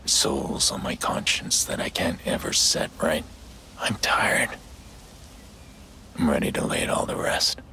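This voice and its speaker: A male actor playing a weary, guilt-ridden middle-aged vigilante in a game, speaking tersely in a low, hushed, gravelly voice. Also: expressive, unhurried, long pauses, slight background noise, full character voice. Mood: resigned, remorseful. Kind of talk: cinematic game drama acting. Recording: rain and ambience behind the voice.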